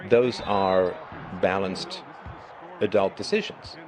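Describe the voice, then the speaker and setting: A middle-aged man with a hoarse voice, hosting a live stream and talking through his views in an emphatic, lecturing monologue. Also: low voice, takes it slowly, crowd noise, poor audio. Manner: emphatic, lecturing, with rising bursts of stress. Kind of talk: monologue commentary.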